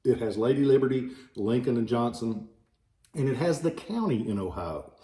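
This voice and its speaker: A man's voice: mid-range voice, expressive, moderate pace, mellow. A man with a slight Southern American accent, a relic dealer casually showing old ballots, rambling with folksy jokes and asides. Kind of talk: casual informational video. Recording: echoey room.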